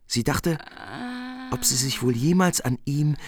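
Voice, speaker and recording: A man's voice: a little high, very animated, a little slow, crowd noise. A man narrating a literary radio play from a script.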